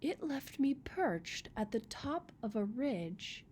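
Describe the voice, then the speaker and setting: Woman voicing an unhurried, proud old storybook rock. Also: a little high, big pitch swings, a little mellow, slight background noise. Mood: content. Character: ancient rock, male, contented, proud storyteller. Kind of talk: picture book read-aloud.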